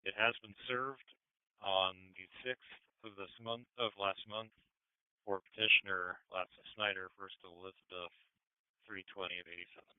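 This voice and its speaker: Guy on police scanner, low clear voice, clipped radio talk, thin phone-like sound. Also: fairly even intonation, normal speed, no echo. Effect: two-way radio.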